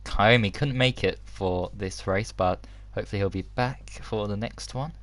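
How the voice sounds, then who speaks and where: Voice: low voice, normal speed, a bit bright. A host commentator on a sim racing livestream, enthusiastic, with many ums and exclamations. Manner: enthusiastic, filler-heavy.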